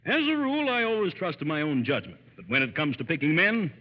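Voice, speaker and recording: An actor playing a pompous older judge who heads a law firm, speaking formally in old-time radio drama style. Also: male voice, fairly high pitch, very animated, fast talker. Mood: self-important. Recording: muffled old radio, long hall reverb.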